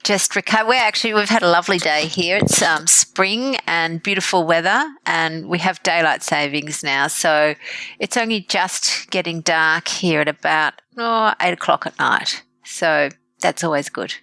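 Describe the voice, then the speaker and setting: A woman's voice: fairly low pitch, moderate pace, sharp tone, no room sound, poor audio. A woman, a doctor guest chatting in a friendly, casual way. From Australia. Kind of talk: casual interview chat.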